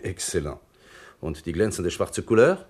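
A man's voice: sits low, sing-song, moderate pace, darkish tone, slightly rough, full character voice. A man playing a courteous, evasive French prison director with a French accent in a radio play, formal and searching for words in German. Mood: courteous, admiring.

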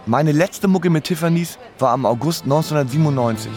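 A man's voice: fairly high pitch, fairly quick, over music. A man narrating his own story in a wry, resigned, matter-of-fact way. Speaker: narrator of an autobiographical radio play. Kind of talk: scripted first-person narration. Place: dry studio.

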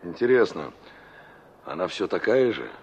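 An actor in a Soviet radio play as a pensive young engineer, musing and questioning. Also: male voice, low-pitched, sing-song, normal speed, a little mellow, breathy edge, exaggerated voice. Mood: wistful. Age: young adult. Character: pensive young engineer asking after a girl he knew. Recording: dull old radio drama recording.

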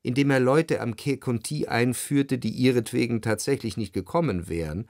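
A man narrating a literary radio play in the first person, measured and composed, in a dry studio. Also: medium pitch, darkish tone, no room sound, close to an everyday voice. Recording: dry close studio sound.

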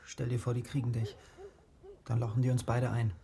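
A man's voice: medium pitch, flat delivery, a little fast, creaky, crowd noise, close to an everyday voice. An actor playing a restless, defiant teenage boy from a poor family in a radio drama, agitated and sullen. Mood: sober.

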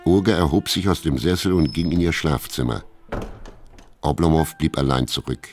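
An older man narrating a radio play in a measured, literary storytelling style. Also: very deep voice, a little mellow, a little hiss or hum. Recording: studio narration with faint music.

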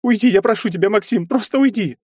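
A man's voice: a little high, very animated, brisk, mellow, slightly rough, no room sound, voice pushed up. A man voicing a proud young woman who speaks in clipped words and breaks into sobbing pleas, in a dry, close recording. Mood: tearful.

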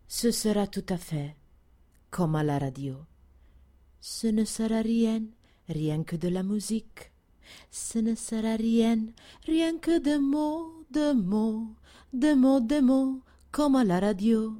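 A woman's voice: fairly high pitch, expressive, slow pace, mellow. A woman performing a song in a half-spoken, half-sung style.

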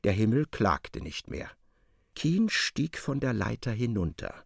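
An actor playing an intense storyteller, narrating a feverish tale for radio drama. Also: male voice, on the low side, very animated, unhurried, vocal fry, husky, no room sound, studio quality, exaggerated voice. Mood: urgent, intense.

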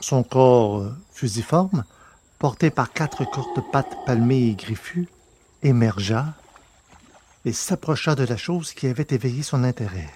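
A man with a slightly husky voice reading scripted fiction as an audiobook narrator. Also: mid-range voice, expressive, unhurried, a little mellow.

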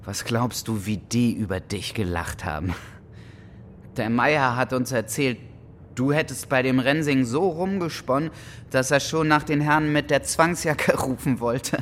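A sneering, cocky thug acted by a man taunts with open contempt at a medium pitch. It is gritty radio-play dialogue, with faint rumbling noise behind the voice. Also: unhurried, fairly bright tone, natural voice. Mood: mocking, contemptuous. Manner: mocking, provocative. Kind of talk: audio drama dialogue acting.

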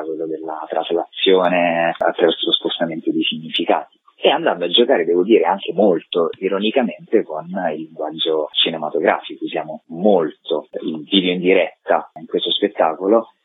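Low husky man on a tinny phone. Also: a little fast, few pauses. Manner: articulate, thoughtful, elaborate phrasing. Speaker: theatre director being interviewed. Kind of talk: reflective interview answers. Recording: tinny phone line, dry.

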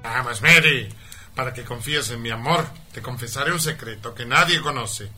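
An actor playing a cruel, greedy, scheming mine owner in a parody radio melodrama, forceful and contemptuous. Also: male voice, mid-range voice, moderate pace, breathy edge, faint music behind. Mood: scheming, cold. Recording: light background noise.

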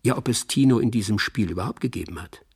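Crime radio drama narrator: wry old friend, lowish husky voice, deliberate delivery. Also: male voice, heavily acted. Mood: wry, reflective. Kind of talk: radio play narration, reporting like an epilogue.

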